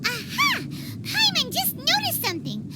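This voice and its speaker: A woman playing a tiny, cheerful, childlike fairy companion in an anime game, with a squeaky voice and bubbly manner. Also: huge pitch swings, normal speed, heavily acted. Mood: curious, teasing. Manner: refers to self in third person, bubbly. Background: background music.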